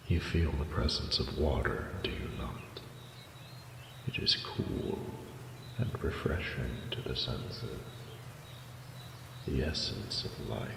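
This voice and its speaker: A man voicing an ancient, proud but tender dragon who mentors his beloved in slow, formal, commanding speech in a fantasy audio drama. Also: full character voice. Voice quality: deep gravelly, often breathy. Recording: echoey cavernous reverb with ambient noise.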